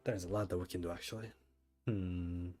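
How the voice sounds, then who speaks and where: A man chatting casually as he livestreams a game, thinking out loud and often asking himself questions. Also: sits low, fairly even intonation, moderate pace, soft background music. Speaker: livestreamer, gamer. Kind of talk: casual livestream chat while playing a game.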